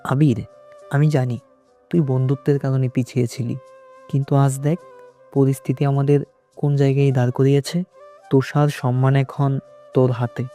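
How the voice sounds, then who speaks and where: A man's voice: medium pitch, flat delivery, moderate pace, long pauses, mellow, soft background music, natural voice. A man playing an earnest young friend and brother, solemn and pleading, in a romantic audio drama. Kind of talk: romantic audio story acting.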